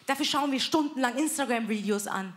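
A woman's voice: sits high, a little fast, a bit bright. A woman in her thirties doing brash, self-mocking stand-up comedy on a live stage. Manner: brash and self-mocking, announces acts energetically. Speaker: comedy show host and comedian. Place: live comedy club with audience. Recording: live stage mic, crowd laughter.

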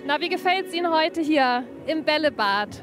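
A woman's voice: sits high, animated, moderate pace, music in the background. A woman reporting on location for TV from a museum ball pit, chatty and often adding tag questions.

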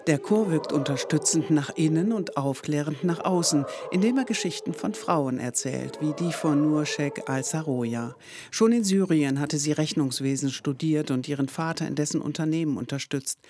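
A woman reading a scripted TV news report in a measured, even voice-over. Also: low voice.

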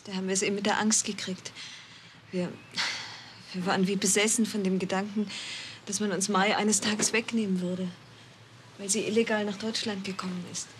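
A woman's voice: medium pitch, flat delivery, fairly quick, long pauses, a little hiss or hum, natural voice. An actress playing an anxious adoptive mother who speaks earnestly.

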